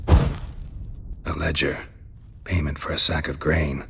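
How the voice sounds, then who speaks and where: A man with an American accent playing a gruff, stoic, world-weary monster hunter in a video game, husky and deadpan in clipped phrases. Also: slow pace, noisy background, exaggerated voice. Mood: terse musing. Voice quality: gravelly, husky. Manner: clipped, deadpan, low-key.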